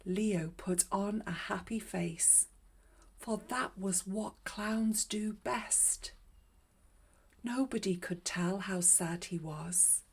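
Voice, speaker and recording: Slow, breathy woman reading a storybook. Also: mid-range voice. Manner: measured, expressive read-aloud. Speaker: children's story reader. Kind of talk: scripted storybook narration.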